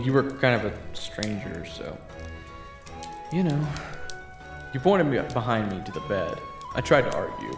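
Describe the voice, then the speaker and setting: A man performing a first-person audio roleplay, narrating and talking to the listener, often stammering and flustered, sometimes whispering and sometimes yelling. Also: lowish voice, creaky. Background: video game soundtrack music.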